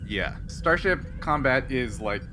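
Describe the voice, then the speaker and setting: A man's voice: medium pitch, lively intonation, measured pace, background noise. A man acting as game master, narrating and refereeing a tabletop game in a casual, sometimes profane way.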